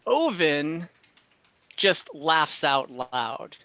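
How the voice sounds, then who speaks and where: A man runs an online tabletop game, improvising scene descriptions with pauses. His voice sits a bit high and comes across crisp and forceful, though the call sounds slightly muffled. He takes his time. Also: big pitch swings, no echo. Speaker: game master.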